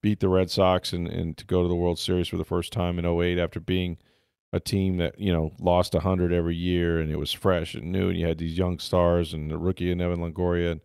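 A man's voice: low voice, flat delivery, fairly quick, creaky, a little mellow, clear, resonant tone, no room sound, clean studio sound. A man hosting a sports podcast, chatting casually about games and players. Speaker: sports podcast host and football reporter.

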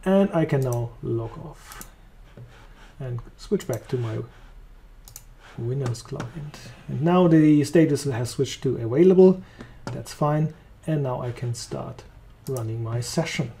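A man with a German accent walking through a software demo, talking casually as he clicks along. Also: medium pitch, takes it slowly, slight background noise. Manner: relaxed, thinking aloud while clicking. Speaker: software trainer. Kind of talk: screen-recorded software demo.

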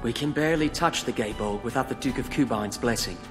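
A man's voice: mid-range voice, brisk, natural voice. A man acting a proud, idealistic young lord in a game cutscene, speaking formally. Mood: composed. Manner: formal, composed, impassioned about his country. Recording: hall reverb, music behind.

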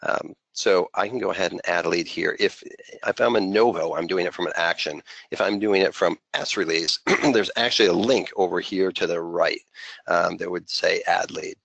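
A man presenting an unscripted software walkthrough as a consultant, conversational and peppered with filler phrases. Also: deep voice, fairly even intonation, moderate pace, vocal fry, dry recording. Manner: conversational, heavy use of "you know" as filler.

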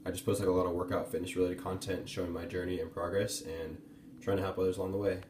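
A man's voice: sits low, level tone, fast talker, echoey room, background noise. A young man in his twenties chatting casually for a fitness vlog, loose and filler-heavy, recorded on a camera mic in a small home kitchen. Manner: laid-back, filler-heavy talk with many likes and ums. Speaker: fitness vlogger. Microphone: camera mic at arm's length.

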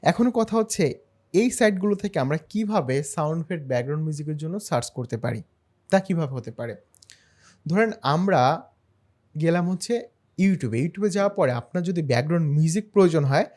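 A man narrating a screen-share software tutorial in a step-by-step, explanatory way. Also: a little high, expressive, fast. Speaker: tutorial host.

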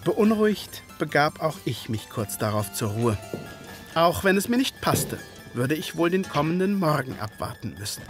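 Loyal gentleman doctor, detective radio play, high male voice, animated melody, formal, measured phrasing. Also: music in the background. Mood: reflective. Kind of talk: audio drama narration and dialogue.

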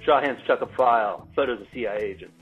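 Husky man on a tinny line. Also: mid-range voice, quick pace, soft background music. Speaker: co-host and script narrator. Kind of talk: scripted introductions and narration. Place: remote video call. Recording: tinny, phone-like video call audio.

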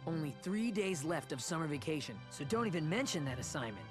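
A man's voice: on the high side, sing-song, brisk, over music. An actor playing a brash teenage boy leader in a video game cutscene, blunt and grudging. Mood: dismissive.

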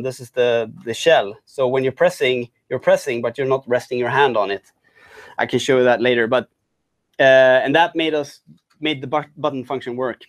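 Man, tech meetup presenter, conference talk, halting, lots of restarts, medium pitch. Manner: halting, many restarts and fillers.

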